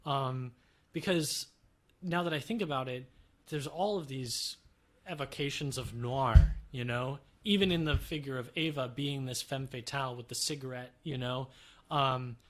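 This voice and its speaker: A man's voice: mid-range voice, normal speed. Agreeable man on a film podcast. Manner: reflective, agreeable, frequent backchannels. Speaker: podcast panelist. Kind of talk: casual film discussion.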